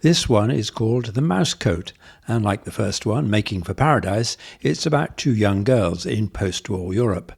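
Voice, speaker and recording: A man's voice: fairly low pitch, lively intonation, normal speed, gravelly, hardly any pauses, darkish tone, slightly rough. A man hosting an arts radio programme, introducing items and interviewing a guest.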